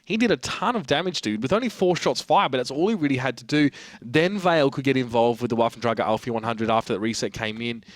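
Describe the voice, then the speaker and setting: A man doing energetic play-by-play esports commentary. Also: medium pitch. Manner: energetic, fast, swooping emphasis.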